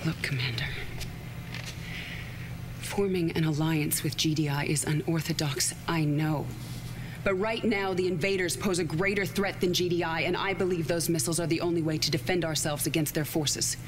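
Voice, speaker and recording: A woman's voice: sits low, fairly even intonation, normal speed, background noise, natural voice. An actress playing a cold, ambitious female military leader in a game cutscene, speaking formally and with authority. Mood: defiant.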